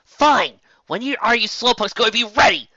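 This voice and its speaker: A man's voice: extremely high pitch, expressive, normal speed, pushed and bright, no room sound, highs cut off, pitched above the performer's own voice, heavily acted. A man voicing a brash, impatient young female flying pony in a storybook reading.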